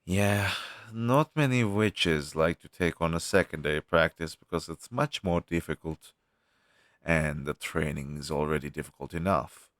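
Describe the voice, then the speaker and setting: A man's voice: rumbling low, a bit bright, no echo, clean studio sound. A man playing a down-on-his-luck, friendly crow demon, once a witch's familiar, talking hesitantly to the listener in an audio roleplay. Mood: reminiscing. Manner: conversational, hesitant, with sighs and pauses.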